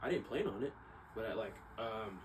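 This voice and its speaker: A man's voice: on the low side, expressive, moderate pace. A young man talking casually in a rambling, slangy monologue, often repeating himself and checking in with "right?". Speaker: vlogger. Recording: distant mic, clearly noisy background.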